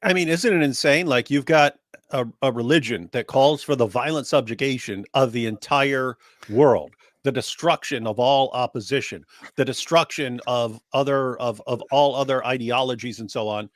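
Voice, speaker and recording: A man's voice: mid-range voice, normal speed, no echo, clean studio sound. A man co-hosting a casual online commentary, speaking emphatically and building his points in long lists. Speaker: co-host.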